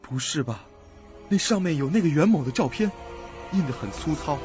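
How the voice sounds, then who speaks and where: A man's voice: fairly high pitch, expressive, normal speed, a little mellow, highs cut off, soft background music, natural voice. A man playing an earnest, uneasy young investigator in a suspense radio drama.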